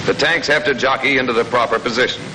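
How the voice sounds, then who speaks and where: A man's voice: medium pitch, steady tone, fast, bright, forceful tone. American man narrating a noisy vintage training film. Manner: clear, instructive, matter-of-fact. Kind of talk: scripted instructional narration, 1940s military training film. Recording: noisy old 1940s film soundtrack.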